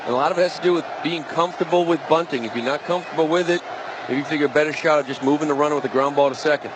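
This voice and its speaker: Male color analyst for live baseball, fairly high voice, chatty and colloquial, audible ballpark din. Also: racing. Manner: colloquial, poses questions, talks directly to listener. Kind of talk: conversational live sports analysis. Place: ballpark broadcast booth. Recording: noisy live stadium broadcast. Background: clear stadium ambience.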